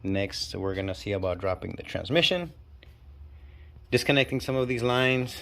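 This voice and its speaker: A man with a stuffy, nasal cold talking casually and haltingly while working on a car in his garage. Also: mid-range voice, animated, vocal fry, a bit bright. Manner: talks while working, halting and self-deprecating. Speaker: channel host. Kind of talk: casual DIY garage walkthrough. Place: home garage.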